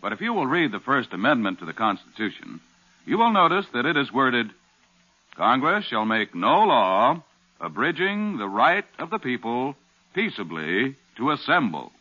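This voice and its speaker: A muffled old radio recording of an American man with a bright, forceful voice. He delivers a scripted public announcement solemnly, with animated rises and falls in 1950s style. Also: mid-range voice, normal speed.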